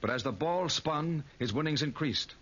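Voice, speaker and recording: Wry, animated American man. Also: on the high side, normal speed. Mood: tense narration. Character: skeptical, bookish American friend, also narrating the tale. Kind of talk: 1940s radio drama acting and narration. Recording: muffled old radio recording, clear noise.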